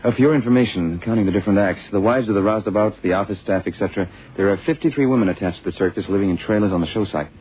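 An actor playing a dutiful, doubtful sidekick investigator, brisk and matter-of-fact, in a 1970s radio drama. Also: male voice, lowish voice, slight background noise, natural voice. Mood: questioning. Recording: muffled, tinny vintage radio recording.

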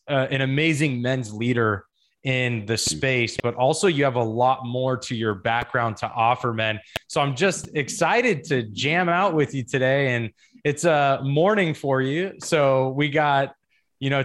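A man's voice: mid-range voice, fairly bright tone. A male podcast host chatting in an upbeat, joking, slangy way with his guest. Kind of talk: casual interview chat.

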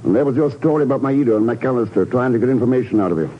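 An actor playing a shrewd, unflappable secret agent with a dry, terse manner in a 1950s radio drama. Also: male voice, medium pitch, expressive, rapid-fire, natural voice. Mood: coolly explaining. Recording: muffled old radio recording, faint noise.

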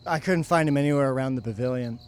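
A man's voice: medium pitch, quick pace, darkish tone, slight background noise, close to an everyday voice. A man playing an easygoing young camp guy in casual audio drama dialogue. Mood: casual, reassuring.

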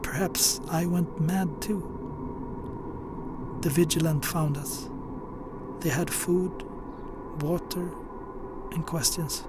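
An actor playing a haunted Scandinavian sailor, narrating gravely and wearily in a radio drama, not speaking in his native language. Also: a little high, fairly even intonation, slow, pauses often, heavy background noise. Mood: weary, bleak. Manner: grave, weary, confessional. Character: haunted Scandinavian sailor recounting a horror at sea.

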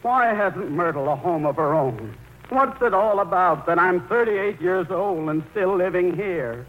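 An actor playing a sulky, halting man in his thirties who still lives at home, in a 1940s radio play heard through a tinny, noisy broadcast. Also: sits high, animated. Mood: aggrieved, brooding. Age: 30s. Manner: halting, aggrieved, brooding. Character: sulky, indecisive 38-year-old son living at home.

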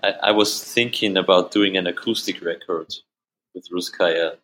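Low-voiced Austrian man, halting. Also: fairly even intonation, a bit bright, low-quality recording. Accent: Austrian German. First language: German. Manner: halting, repeats words, laughs easily. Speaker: musician guest. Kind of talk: casual interview answers.

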